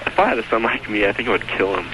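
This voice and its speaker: Low, hesitant young caller, noisy line. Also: male voice. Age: early 20s. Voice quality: slow drawn-out delivery. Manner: hesitant, slacker-like, chuckles often. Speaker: radio show caller. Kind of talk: casual phone chat. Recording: noisy phone call on AM radio recording.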